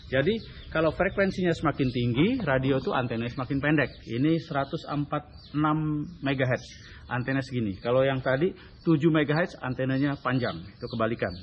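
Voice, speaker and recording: Pria Indonesia, rekaman agak redam. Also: mid-range voice. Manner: explanatory, halting, often tags phrases with "ya". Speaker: amateur radio hobbyist and touring rider presenting his gear. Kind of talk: informal explainer and equipment demonstration. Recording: dull lo-fi sound with light background noise.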